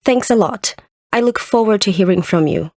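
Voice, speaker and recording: A woman's voice: medium pitch, a little mellow, no room sound, clean studio sound, natural voice. A woman playing a polite businesswoman leaving a work voicemail for a scripted listening exercise, enunciating carefully. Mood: polite, businesslike. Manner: careful, clearly enunciated, spelling things out slowly.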